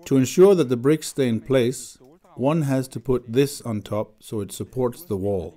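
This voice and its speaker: Calm male documentary voice-over, dark tone. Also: medium pitch, moderate pace. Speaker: voice-over interpreter.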